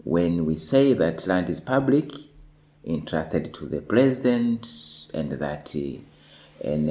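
A lawyer in his thirties answering interview questions deliberately, often repeating words as he searches for them. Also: low voice, a little mellow. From northwestern Tanzania.

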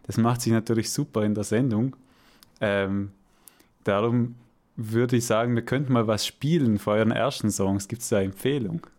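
A man's voice: on the low side, moderate pace, darkish tone. A man from Vorarlberg hosting a radio show, asking questions in a hesitant, friendly way with light laughs. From Vorarlberg, Austria. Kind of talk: casual interview.

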